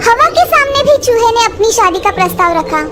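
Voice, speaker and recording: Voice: extremely high pitch, big pitch swings, a little fast, heavy background noise. A narrator reading a scripted story for children.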